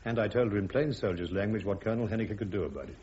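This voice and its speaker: An actor playing a proud, dryly sarcastic British army major on trial, speaking in a clipped, emphatic way in a radio drama. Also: male voice, fairly low pitch, lively intonation, rapid-fire, noisy background, close to an everyday voice. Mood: defiant. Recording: dull, lo-fi radio drama recording.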